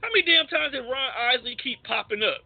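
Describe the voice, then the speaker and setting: A man's voice: extremely high pitch, expressive, brisk, creaky, a crowd in the background. A man co-hosting a radio show, debating casually in a slangy, argumentative way. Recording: tinny, phone-like internet radio audio.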